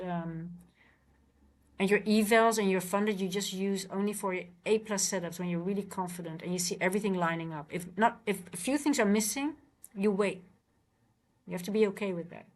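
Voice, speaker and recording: A woman with a Dutch accent talking casually on a live trading stream, chatty and restless, often restarting her sentences. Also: mid-range voice. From Netherlands. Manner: chatty, repeats words, frequent restarts and fillers.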